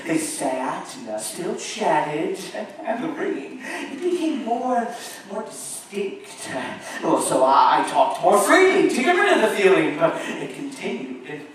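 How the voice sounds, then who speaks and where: A man performing a feverish, guilt-tormented murderer confessing, with stammering theatrical delivery, in a live gothic horror stage reading. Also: on the high side. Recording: distant, very echoey theater, faint noise.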